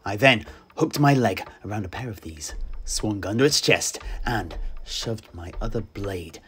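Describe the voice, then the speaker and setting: A man narrating a fantasy tale in the first person, theatrical and deliberate, with long pauses. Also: lowish voice, expressive, fairly bright tone, slight background noise. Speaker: storyteller, host.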